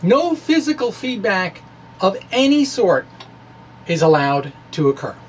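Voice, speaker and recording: A middle-aged man giving a dramatic reading of a science blog, with deliberate, emphatic phrasing and informal asides. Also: high-pitched, big pitch swings, slight background noise. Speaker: presenter, science blogger.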